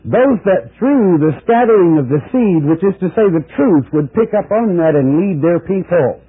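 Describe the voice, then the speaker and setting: A man teaching a Bible sermon as pastor, slow and deliberate, dropping low at the ends of phrases. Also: expressive, breathy edge. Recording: tinny narrow-band broadcast audio.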